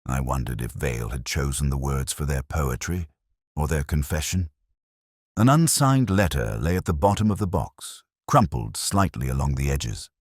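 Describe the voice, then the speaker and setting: A man voicing a brooding, introspective police detective who recounts a case in measured, grave, literary first-person narration for a mystery audio drama. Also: extremely low pitch, animated, slightly rough, no room sound, studio quality, heavily acted. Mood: pensive, grave.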